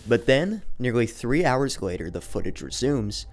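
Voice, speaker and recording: A man's voice: medium pitch, big pitch swings, normal speed. A man narrating a video essay in a conversational explanatory way, moving between scripted recap and casual commentary.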